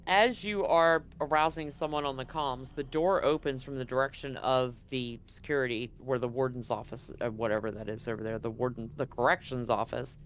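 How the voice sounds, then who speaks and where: A man acts as game master for a tabletop role-playing game, talking casually with mid-range pitch. He narrates and explains in a conversational style, with faint noise behind him. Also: fairly even intonation, fairly quick, few pauses.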